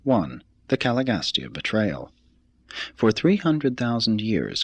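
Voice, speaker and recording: A man with a fairly low, husky voice narrates a solemn religious text. His delivery is formal and deliberate, with lively rises and falls in pitch. The sound is dry and close, with no room around it. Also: dry recording. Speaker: audiobook reader.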